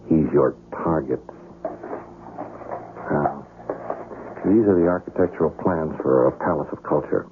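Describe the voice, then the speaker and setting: An actor playing a self-assured assassin's handler in a 1970s radio drama, urbane and measured, with a polite menace. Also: male voice, very deep voice. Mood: cool, menacing. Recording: noisy, narrow-band vintage radio broadcast.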